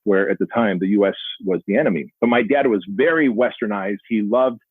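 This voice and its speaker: A man's voice: on the low side, brisk, husky, no room sound. A man in his forties who grew up speaking Armenian, telling his life story earnestly as a podcast guest. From Soviet Union, Armenian family. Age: 40s. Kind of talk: storytelling interview. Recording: dry tinny phone-like line.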